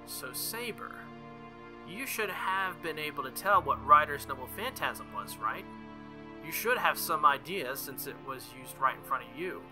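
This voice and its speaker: A man voicing a confident, teasing young woman mage who questions briskly, in a visual novel. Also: a little high, expressive, over music. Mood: pressing.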